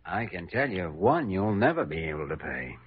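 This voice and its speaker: An actor playing an earnest, principled doctor in an old-time radio drama, sincere and measured. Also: male voice, low-pitched, sing-song, breathy edge, no room sound, heavily acted. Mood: firm, defiant. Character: earnest, principled male doctor, caring but firm. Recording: dull vintage radio recording.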